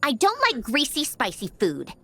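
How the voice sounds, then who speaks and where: A woman voicing a proud, prickly, girl-like living machine with a soft heart, speaking refined lines for a game character. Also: a little high, huge pitch swings, normal speed, breathy edge, full character voice. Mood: refined, matter-of-fact. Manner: refined, haughty, turning flustered.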